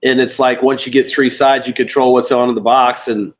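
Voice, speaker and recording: Guy on a live panel, middle pitch, rambly casual explaining, feeling around for words. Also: flat delivery, fairly quick. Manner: loose, searching for words. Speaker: panel participant in live open mic. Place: remote video call.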